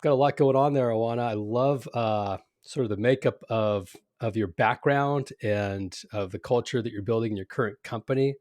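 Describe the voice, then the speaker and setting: A man's voice: medium pitch, normal speed, hardly any pauses, a little mellow, dry recording, clean studio sound. A man who grew up in California, hosting a podcast interview with a read-out intro and loosely phrased friendly questions. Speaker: podcast host.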